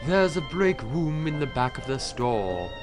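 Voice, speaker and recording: A man's voice: medium pitch, big pitch swings, measured pace, over music. A man playing an earnest, disgruntled stuffed toy come to life in an audio drama. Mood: wary.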